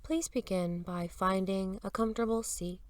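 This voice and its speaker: A woman leading a guided meditation in a soft, gentle, soothing voice, with unhurried phrases and long pauses, at times breathy. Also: mid-range voice, fairly even intonation, dry recording. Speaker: meditation teacher.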